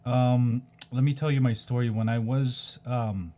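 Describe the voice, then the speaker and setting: Mellow-voiced man rambling through a screen share. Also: medium pitch, steady tone, a little slow. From South Korea. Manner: rambling, many ums and restarts, repeats "go ahead and". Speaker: video creator. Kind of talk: casual screen-share explainer.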